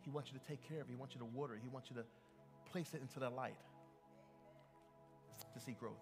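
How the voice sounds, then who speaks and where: A man's voice: mid-range voice, steady tone, quick pace, long reverb tail, over music. A male pastor preaching a sermon in a church. He speaks emphatically, often restarting his sentences and calling out to the congregation. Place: church sanctuary.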